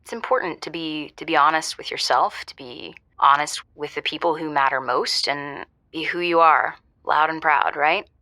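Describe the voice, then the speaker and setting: Low, bright-edged earnest radio caller. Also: female voice, no echo. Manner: earnest, deliberate, pausing and restarting. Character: sincere, soul-searching woman calling a radio show. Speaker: returning caller. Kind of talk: improvised audio comedy call-in.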